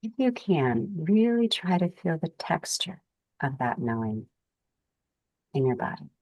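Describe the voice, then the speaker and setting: A woman leading a guided meditation as its guide, speaking calmly and soothingly in gentle, unhurried phrases with pauses. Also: low-pitched, no echo, rough recording.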